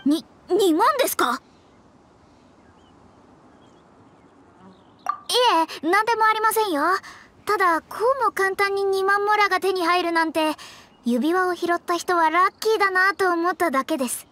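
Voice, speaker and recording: A woman's voice: very high voice, brisk, bright, forceful tone, slight background noise. A woman playing a proud young female astrologer in an anime game, formal, earnest and lecturing. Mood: flustered.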